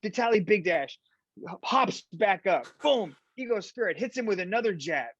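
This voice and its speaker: A man, a fight commentator, chatting in an interview and telling lively stories, tagging his sentences with "right?". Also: sits high, normal speed, breathy edge. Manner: animated storyteller, fillers like "right?". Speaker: podcast guest, fight commentator. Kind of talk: casual interview chat. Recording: dull lo-fi sound.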